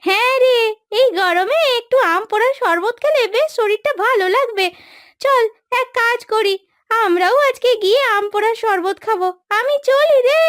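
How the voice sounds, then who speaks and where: A woman's voice: very high-pitched, fairly bright tone, no room sound. A woman voicing an insistent, chatty housemaid who nags her friend, in a cartoon moral story. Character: chatty, nagging fellow housemaid woman, urgent warning friend. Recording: dry studio voice over background music.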